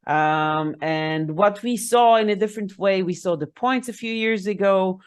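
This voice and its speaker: A woman's voice: mid-range voice, measured pace, clean tone. A woman co-hosting a casual podcast chat in her second language, explaining at length with frequent fillers. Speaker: podcast co-host.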